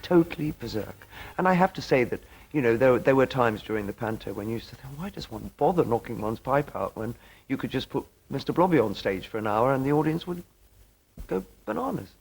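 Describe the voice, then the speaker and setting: A man's voice: medium pitch, expressive, fairly quick, creaky, slightly rough, low-quality recording. A man, a stage performer, speaking with emphatic theatrical phrasing in an interview. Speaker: pantomime performer. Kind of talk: talking-head interview. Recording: faint music behind, VHS tape.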